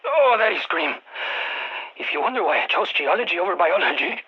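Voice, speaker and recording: An actor playing an anxious, excitable nerdy scientist in a video game, speaking over a radio, expressive and prone to stammering and repeating words. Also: medium pitch, a little fast, slightly rough, highs cut off. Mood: squeamish. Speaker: field research scientist.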